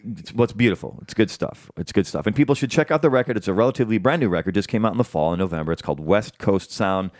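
A man's voice: on the low side, lively intonation, fast talker, few pauses, darkish tone, no room sound, clean studio sound. A man hosting an interview, chatting casually and rambling through long questions with many restarts. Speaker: podcast host.

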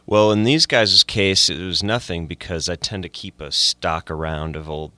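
A man from Ann Arbor, Michigan, a bassist who runs a recording studio, talking in a relaxed, joking way about tape. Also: normal speed, dry recording. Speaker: bassist and studio owner, guest.

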